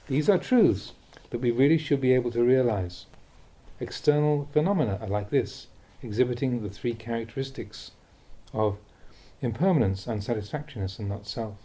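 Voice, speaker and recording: A man reading a Buddhist teaching aloud as instructive narration, with measured, deliberate phrasing. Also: medium pitch, lively intonation, mellow, low-quality recording. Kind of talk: read-aloud dharma talk, instructive narration.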